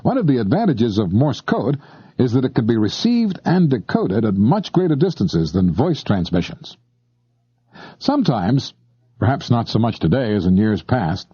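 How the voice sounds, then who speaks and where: A man narrates an instructional tape with lively, varied intonation and a mellow, relaxed tone. He talks directly to the listener in a conversational way, sounding slightly husky on a dry, somewhat dull tape recording. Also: mid-range voice, no echo. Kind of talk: scripted explanatory narration.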